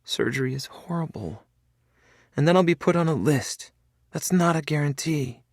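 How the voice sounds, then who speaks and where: A man's voice: mid-range voice, moderate pace, gravelly, no room sound, studio quality, voice pushed up. A man voicing a frail, sick young woman who is tearful and pleading.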